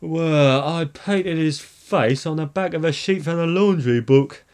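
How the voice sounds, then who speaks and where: Guy doing a flustered anxious storybook minister, voice way up high, urgent. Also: a little slow, darkish tone, close to an everyday voice.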